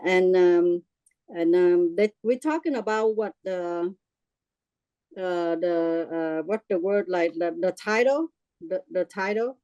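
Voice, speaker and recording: A middle-aged woman from Vietnam with a Vietnamese accent, sharing her experience in a halting way with many fillers and restarts. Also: mid-range voice, dark tone, no room sound. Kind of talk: casual personal sharing.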